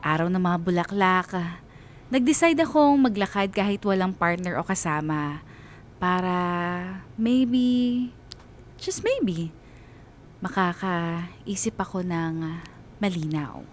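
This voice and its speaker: A woman's voice: takes it slowly, pauses often. A woman reading a dramatic, scripted first-person story as narrator. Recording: clear rumbling background noise.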